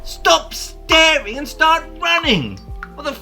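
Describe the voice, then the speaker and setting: A woman playing a brisk, sarcastic evacuation leader in an audio drama, commanding and urgent. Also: high-pitched, wildly swooping pitch, pushed and bright, faint music behind, full character voice.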